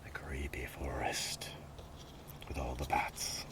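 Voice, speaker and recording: Male ASMR host, very low and slow, soft rambling show-and-tell with whispered asides, outdoor setting. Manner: unhurried, muttering asides, often drifting into whisper. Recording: noisy outdoor recording, breeze and handling sounds. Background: steady ambient noise, breeze, writing and page sounds.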